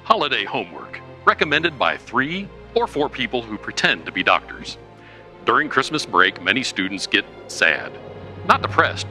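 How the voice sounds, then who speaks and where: Very bright, salesy male announcer. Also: medium pitch. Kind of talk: parody sponsor advertisement. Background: music.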